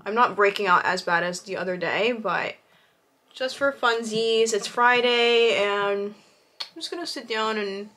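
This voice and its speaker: A woman's voice: mid-range voice, a little slow, pushed and bright. A young woman in her twenties chatting casually to camera in her bedroom, bubbly and full of likes and ums. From New Jersey. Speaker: vlogger. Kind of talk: casual vlog chat to camera. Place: bedroom at home, indoors.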